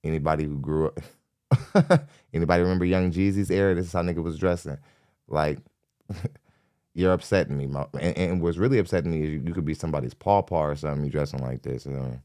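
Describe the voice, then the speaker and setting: Man, extremely low voice, smooth and clear, dry close sound, mocking reaction host. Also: a little fast, warm tone, dry recording. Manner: blunt mocking roast, laughing, repeats himself. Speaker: podcast host reacting to a clip. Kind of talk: casual live reaction commentary.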